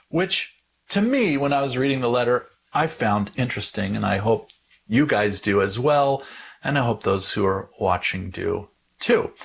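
A man on a podcast panel teaching conversationally about religion, deliberately repeating words for emphasis. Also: mid-range voice, breathy edge.

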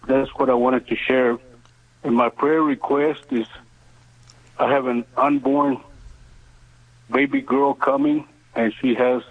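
A man in his seventies calling in to a radio show, speaking earnestly in halting, deliberate phrases over the telephone. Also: medium pitch, breathy edge. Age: 70s. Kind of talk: earnest phone-in testimony and prayer request. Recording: narrow tinny phone line.

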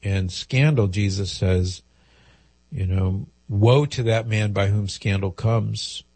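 Man, radio call-in host, slightly nasal from a cold, husky, low-pitched, dry studio sound. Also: slow pace, darkish tone, no room sound. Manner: conversational, explains at length with fillers. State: head cold, a little nasal.

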